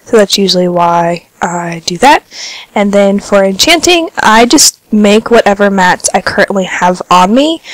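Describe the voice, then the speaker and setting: A woman with a slight cold giving relaxed, chatty commentary over her gameplay, sounding a little congested. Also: mid-range voice, no room sound, low-quality recording. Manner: relaxed, chatty, filler-heavy. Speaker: gaming vlogger.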